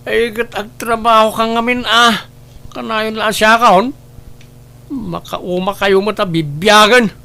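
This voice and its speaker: Man playing a sharp, high-voiced angry husband. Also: fairly even intonation, a little slow, vocal fry, full character voice. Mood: angry, scornful. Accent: Ilocano. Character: hot-tempered gambling husband, quarrelsome and scornful. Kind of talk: Ilocano radio-style family drama acting.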